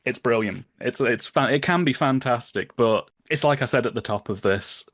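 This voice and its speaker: A man's voice: medium pitch, moderate pace, a bit bright, dry recording, studio quality. A British man speaking as a podcast guest, explaining things in an enthusiastic, chatty way with colourful colloquial phrasing. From United Kingdom. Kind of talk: enthusiastic explanatory casual chat.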